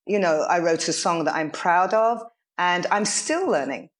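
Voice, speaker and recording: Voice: mid-range voice, animated, normal speed. Earnest guest musician on a call, mid voice, lots of you know and um. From Nigeria. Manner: earnest, reflective, full of fillers. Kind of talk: casual chat.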